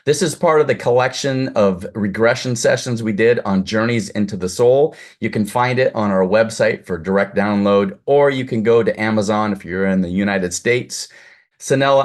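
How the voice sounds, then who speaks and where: A man's voice: fairly low pitch, normal speed. A man hosting an interview over a video call, effusive and full of compliments for his guest. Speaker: host, producer. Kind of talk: casual interview chat.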